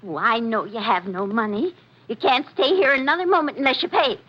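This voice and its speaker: A woman's voice: a little high, fairly quick, breathy edge. An actress playing a suspicious, domineering middle-aged landlady and anxious mother, insistent and accusing, in 1940s radio melodrama style. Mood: angry, commanding. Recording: muffled 1940s radio recording.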